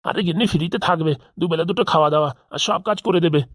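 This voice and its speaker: A woman voicing a wary, grumbling middle-aged village man in a comedy cartoon, haggling gruffly. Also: lowish voice, very fast, dry recording, clean studio sound, natural voice. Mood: coaxing.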